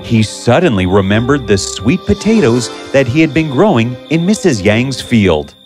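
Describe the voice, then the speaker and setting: A man narrating a scripted fairy tale in an expressive, measured way. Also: mid-range voice, over music. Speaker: storyteller.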